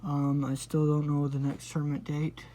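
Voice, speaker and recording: A man's voice: fairly high pitch, monotone, moderate pace, dark tone. A man talks to the viewers of his video channel in a casual, informal style. His delivery meanders from thought to thought with an apologetic manner. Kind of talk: casual, rambling vlog talk to viewers.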